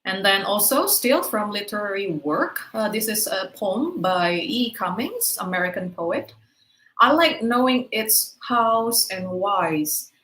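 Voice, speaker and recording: A woman gives a patient webinar lecture to teachers, walking through examples one by one and checking her listeners follow along. Her voice sits at an ordinary middle pitch. The sound carries a noticeable room echo. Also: expressive, takes it slowly, bright, forceful tone. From Indonesia. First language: Indonesian. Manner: explanatory, checks in with "right?". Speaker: webinar speaker.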